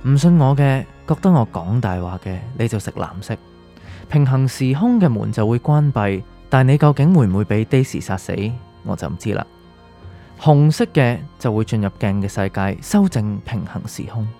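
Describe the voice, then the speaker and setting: A man's voice: mid-range voice, animated, normal speed, dark tone, close to an everyday voice. An actor with a Hong Kong Cantonese accent playing an enigmatic, secretive male doctor in a radio drama, speaking in a cryptic, philosophical way. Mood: cryptic, solemn. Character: enigmatic male doctor, secretive guide offering fateful choices. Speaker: supporting character in a radio drama. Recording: music under the voice.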